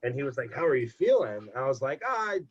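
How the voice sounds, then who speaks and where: A young man with a husky, congested voice tells a rambling story, repeating phrases for emphasis. The recording is dull and lo-fi. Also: medium pitch, sing-song, fast. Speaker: podcast guest. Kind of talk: casual storytelling. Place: home. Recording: dull lo-fi podcast audio.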